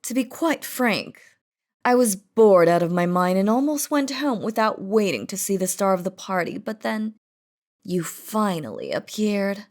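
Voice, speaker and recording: Woman playing a slow-spoken, imperious demon queen. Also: mid-range voice, sing-song, darkish tone. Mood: wistful, seductive. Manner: haughty, theatrical, slow and deliberate. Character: imperious, possessive, lovestruck demon queen kidnapping her beloved. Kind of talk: scripted roleplay audio drama.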